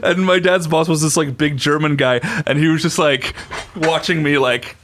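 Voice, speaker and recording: Giggly young man, slightly high voice. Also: moderate pace, a little hiss or hum. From United States. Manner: giggly, animated storyteller. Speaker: podcast guest. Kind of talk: casual chat, storytelling.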